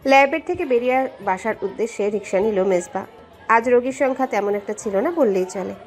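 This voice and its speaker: A woman's voice: fairly high pitch, brisk. Woman narrating a dramatic story over music. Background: background music.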